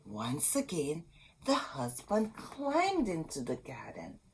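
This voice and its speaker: A woman's voice: deep voice, wildly swooping pitch, slow pace, background noise. A woman hosting a children's storytime, reading a storybook expressively and talking directly to young listeners. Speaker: children's storytime host and reader. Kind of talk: children's storybook read-aloud with asides.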